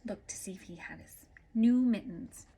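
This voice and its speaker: A woman reading a picture book aloud to children in an animated way, adding little asides as a storyteller. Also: medium pitch, moderate pace, vocal fry, slightly rough. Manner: animated, with asides to young listeners. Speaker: story-time reader.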